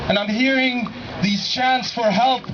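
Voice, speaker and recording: A man's voice: very high-pitched, fairly even intonation, a little slow, a bit bright. A young Syrian man with a Syrian accent gives an impassioned protest speech at an outdoor rally, speaking into a handheld microphone. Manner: impassioned, rousing, leading chants. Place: outdoor city plaza at a rally. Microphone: handheld microphone through a PA, recorded by a camera from a distance. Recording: distant, dull camera audio with street noise.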